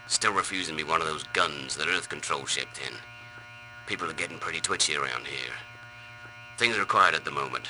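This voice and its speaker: A man's voice: rumbling low, sharp tone, heavily acted, rough recording. A man playing a cocky, disgruntled computer operator grumbling sarcastically and boastfully in a video game audio log. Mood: bored, grumbling.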